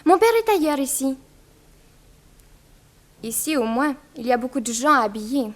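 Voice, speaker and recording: A woman's voice: high-pitched, lively intonation, moderate pace. An actress playing a bold, proud eighteen-year-old Russian immigrant girl in a 1960s French radio drama, with a cheeky, self-assured manner. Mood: cheeky, chatty.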